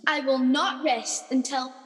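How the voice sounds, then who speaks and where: A woman playing a very high-voiced, defiant suffragette prisoner. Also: fairly even intonation, measured pace, fairly bright tone, faint music behind. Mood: proud, defiant. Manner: declamatory, proud. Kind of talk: comic radio play acting. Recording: very reverberant, hall-like sound.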